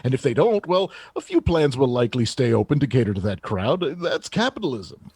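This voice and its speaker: A man acting as an assertive chief of staff in an audio drama, with a slightly rough, husky voice. His delivery swings expressively in pitch as he presses each point like a polished politician, in a dry, close recording. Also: big pitch swings, a little fast, a little mellow, no room sound. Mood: emphatic. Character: confident, combative male presidential chief of staff. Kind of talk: radio theater acting, political interview answers.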